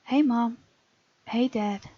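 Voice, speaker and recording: A woman's voice: fairly high pitch, takes it slowly, warm tone, no room sound, lo-fi sound. A woman hosting a gaming video, expressively reading game text aloud with casual, playful commentary.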